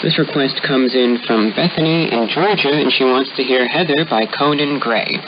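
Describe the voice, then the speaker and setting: A man's voice: medium pitch, normal speed. A man hosting a radio music request show with courteous, formal announcements, heard over shortwave. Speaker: radio host. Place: broadcast heard over shortwave, captured on SDR receiver. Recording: muffled, noisy shortwave radio reception with static.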